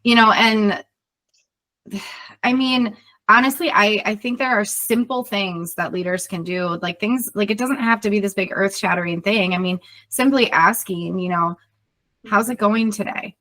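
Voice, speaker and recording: A woman's voice: mid-range voice, normal speed, pushed and bright. A middle-aged woman consultant talking in a conversational interview, explaining earnestly with everyday example questions. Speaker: leadership consultant and podcast co-host, being interviewed.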